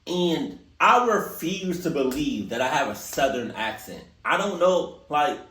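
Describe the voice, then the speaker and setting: Man, Maryland accent, reaction host, casual, animated, roomy echo, off-mic. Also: on the high side, unhurried, distant mic. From Maryland, USA. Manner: animated, laughing, talks straight to viewers.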